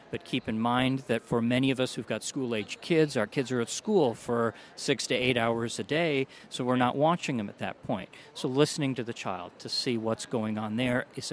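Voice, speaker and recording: A male doctor speaking in a measured, explanatory tone, pitched in the middle range for a man. He lays out findings point by point as an interview guest. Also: a little hiss or hum. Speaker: doctor, allergy specialist. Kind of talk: interview answers.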